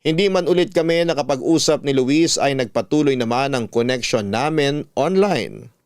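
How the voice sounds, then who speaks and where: A man's voice: medium pitch, moderate pace, a little mellow, clear, resonant tone, no room sound, studio quality. A man narrating a scripted first-person love story as the host of a storytelling program. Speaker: host reading listener love stories.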